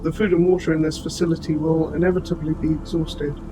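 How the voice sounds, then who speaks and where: A man voicing an old military robot, formal, precise and gently protective, in a scripted audio roleplay addressed to the listener. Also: fairly high pitch, level tone, noisy background, natural voice. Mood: calm, informative. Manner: formal, precise, measured phrasing. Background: music.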